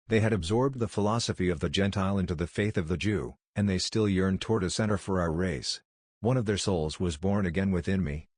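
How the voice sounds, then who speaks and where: A man's voice: low voice, darkish tone, no room sound, studio quality. A man voicing a frail, ailing visionary scholar who speaks with fervent, solemn, old-fashioned phrasing.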